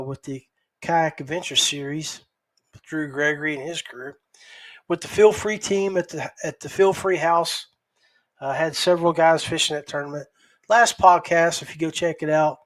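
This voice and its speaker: A middle-aged podcast host talks casually on his own. His voice sits a little high for a man, and he takes his time, pausing and doubling back on words as he recaps. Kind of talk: casual solo recap talk.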